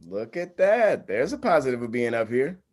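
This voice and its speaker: An actor playing an awkward, self-deprecating, good-natured young groom in a comedy table read, casual and dry. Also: male voice, medium pitch, big pitch swings, moderate pace, darkish tone. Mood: easygoing.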